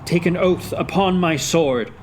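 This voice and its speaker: A man's voice: fairly high pitch, a little slow, pitched above the performer's own voice, lightly acted. Man acting an impassioned young prince, noisy recording. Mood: resolute. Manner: dramatic, declamatory. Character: impassioned young prince, male, brooding and defiant.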